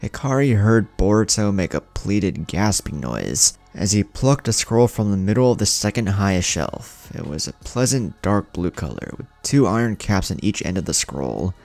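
A man narrates a dramatic scripted story. His voice is very low and gravelly with lively swings in pitch. Music plays faintly behind him. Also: moderate pace, hardly any pauses, no echo.

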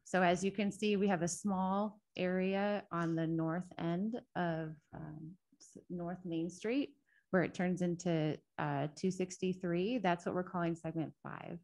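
A soft-spoken woman with a fairly low voice gives a project update at a city council meeting. She talks into a table microphone in the council chamber, pausing often with ums as she walks through her slides. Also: fairly even intonation. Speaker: state transportation project manager. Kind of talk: public meeting presentation.

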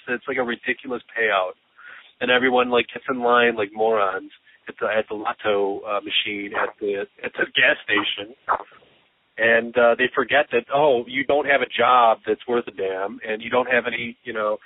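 A man's voice: mid-range voice, dry recording. An American man chatting casually with a friend in rambling, sardonic rants, a bit congested and short of breath. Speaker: podcast host. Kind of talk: casual phone chat between friends. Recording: muffled lo-fi phone-call audio.

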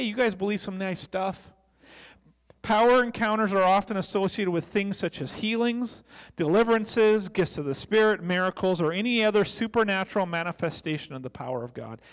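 A middle-aged American man preaching a sermon as a pastor, speaking into a handheld microphone on a church stage. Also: high voice. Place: church stage, large indoor room. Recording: long echoey hall reverb.

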